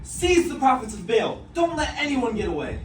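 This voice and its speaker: A man playing a fiery prophet in a dramatized scripture recitation, loud, declamatory and emphatic. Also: extremely high pitch, sing-song, normal speed. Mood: commanding. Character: fiery male prophet, taunting rivals, pleading with God. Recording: roomy live recording, distant mic, faint noise.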